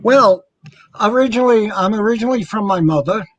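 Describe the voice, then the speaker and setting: High-voiced joking man, dry close sound. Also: animated, brisk, dry recording, lo-fi sound. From New York, United States. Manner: joking, rambling. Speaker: comic radio show co-host. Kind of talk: casual anecdotal chat.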